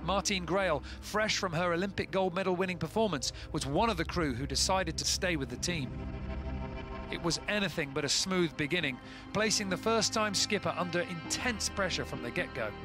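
Guy narrating sports news over music, higher voice, measured. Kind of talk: scripted narration.

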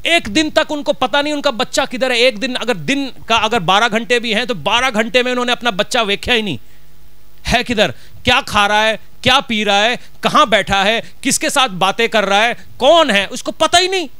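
A man's voice: very high-pitched, moderate pace, bright, forceful tone, clean tone, no room sound. A middle-aged man with a Punjabi accent, a pastor preaching a sermon from a church stage with a handheld mic, driving his points home with rhetorical questions. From Punjab, India.

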